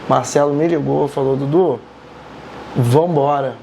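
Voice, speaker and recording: A man's voice: mid-range voice, measured pace, gravelly, over a noisy crowd, poor audio. A Brazilian man, a samba composer, chatting casually and laughing, at times singing lines of a samba. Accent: Brazilian Portuguese. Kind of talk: casual chat.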